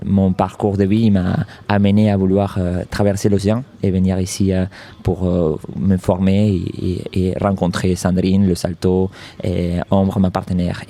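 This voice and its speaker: A man from Argentina, speaking with an Argentine Spanish accent, answers interview questions haltingly, often restarting and repeating words. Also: sits low, warm tone. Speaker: circus artist guest.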